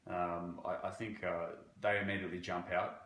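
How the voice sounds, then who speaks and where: A man from Croatia working as a banking relationship manager, answering interview questions in a halting way with many fillers. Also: low voice, little pitch movement, fairly bright tone, reverberant, a little hiss or hum. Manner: halting, with frequent fillers and restarts.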